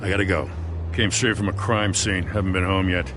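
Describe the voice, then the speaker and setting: A man plays a weary American police lieutenant, low in pitch, blunt and honest. His talk is firm and colloquial, game cutscene style, with clear street-like noise behind him. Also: steady tone, fairly quick, lightly acted. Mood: weary, frank. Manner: plain-spoken, firm, colloquial. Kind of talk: video game cutscene dialogue.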